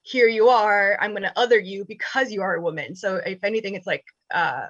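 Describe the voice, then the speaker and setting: A young woman whose first language is Spanish, reflecting candidly and casually in a group discussion, thoughtful and halting, with easy laughter. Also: mid-range voice, no echo, muffled recording. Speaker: panel guest.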